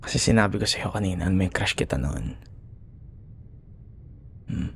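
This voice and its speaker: Male actor as young Filipino school rival, grudging yet smitten; creaky, breathy near-whisper, intimate ASMR roleplay, faint background noise. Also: low voice, expressive, unhurried, full character voice. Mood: disbelieving, tender. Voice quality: breathy, often dropping into whispers. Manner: teasing, grumbling, hesitant.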